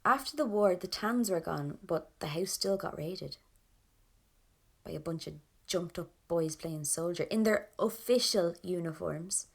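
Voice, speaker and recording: Creaky-voiced, wounded Irish woman. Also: lowish voice, unhurried, exaggerated voice. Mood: bitter. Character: bitter, wounded young Irish woman recounting her past. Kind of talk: audio drama monologue.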